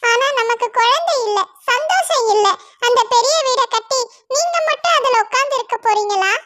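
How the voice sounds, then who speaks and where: High-pitched cartoon mother bird voiced by a woman, anxious, pleading, very high voice. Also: lively intonation, normal speed, noisy background. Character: worried mother bird, gentle wife.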